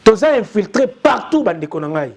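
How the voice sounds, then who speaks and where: A man's voice: sits high, very animated, normal speed, a little mellow. A young man with a Congolese accent giving urgent, insistent news commentary and repeating his appeals to listeners. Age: young adult. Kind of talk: impassioned commentary.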